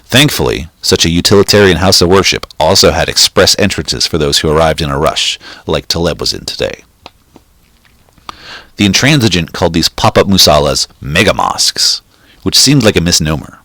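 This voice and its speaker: A man reading a short story aloud in a steady, measured way, with the odd small slip. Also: sits low, no room sound. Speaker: reader.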